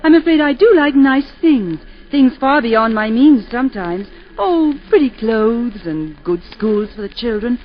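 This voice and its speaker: An actress playing a warm wife and mother who both narrates and acts, wistful and lightly self-mocking, in a 1940s radio play. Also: on the high side, animated, unhurried, few pauses. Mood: gracious. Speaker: narrator and lead. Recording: muffled 1940s radio broadcast with music.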